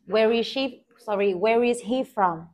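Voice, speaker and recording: A woman teacher with a Vietnamese accent runs a speaking quiz, patient and calm. She asks short drill questions and gently corrects mistakes. Her voice comes from off-camera. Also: fairly high pitch, measured pace. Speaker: English teacher. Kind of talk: one-on-one speaking test with a child.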